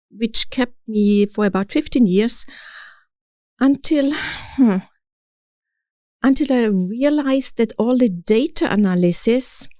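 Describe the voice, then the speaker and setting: A middle-aged woman from Austria with an Austrian accent, telling her story as an interview guest, halting now and then as she searches for words in English. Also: medium pitch, warm tone, dry recording, studio quality. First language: German. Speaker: podcast guest, city official. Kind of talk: conversational interview, personal storytelling.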